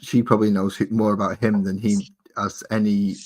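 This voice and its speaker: A man's voice: fairly low pitch, little pitch movement, normal speed, darkish tone. A man hosting a livestream, chatting in a jokey, rambling way with his co-host and viewers. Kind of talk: casual chat while painting.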